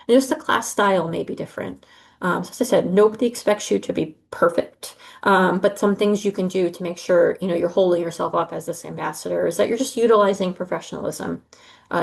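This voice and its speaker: A middle-aged woman speaks on a video call in a lowish voice with a gravelly edge. She briefs students in an earnest, reassuring way, pausing often on ums and uhs. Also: darkish tone. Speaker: study abroad advisor.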